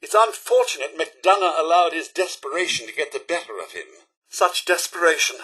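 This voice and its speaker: A loyal, fussy robot butler for a video game, speaking in a formal British accent. The voice sits fairly high and is bright and crisply forceful, with very lively rises and falls. The manner is prim, theatrical and sardonic. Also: male voice, brisk, exaggerated voice, low-quality recording. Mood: regretful. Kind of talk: video game companion voice acting.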